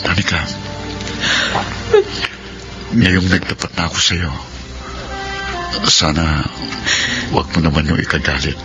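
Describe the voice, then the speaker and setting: Radio drama, devoted Filipino man in love: deep, measured, earnest, scored with music. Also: fairly even intonation, highs cut off. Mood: tender, earnest. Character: earnest devoted man confessing long-hidden love to his partner.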